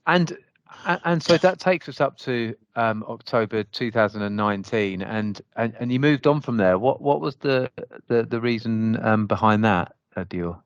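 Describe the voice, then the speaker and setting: A man's voice: on the low side, normal speed, clear, resonant tone, dry recording, muffled recording. A man hosting a podcast, asking questions hesitantly and often repeating words as he forms them. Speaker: podcast host, interviewer. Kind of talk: interview questions.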